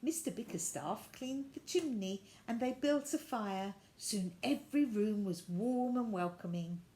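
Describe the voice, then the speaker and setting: A woman reading a children's story aloud, with deliberate, expressive narration. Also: fairly high pitch, rough recording.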